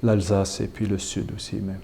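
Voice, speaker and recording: A man's voice: low voice, completely flat delivery, normal speed, mellow, a little hiss or hum. A man, a bishop answering interview questions with many hesitations and restarts. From Canada. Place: chapel.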